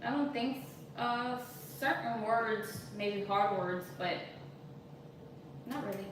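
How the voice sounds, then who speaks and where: A woman's voice: fairly high pitch, slow pace. Woman, thirties, cooking-channel host, casual chatty live stream, distant mic, roomy echo, noisy kitchen. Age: 30s. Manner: chatty, reads viewer comments aloud, switches into Spanish. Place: home kitchen.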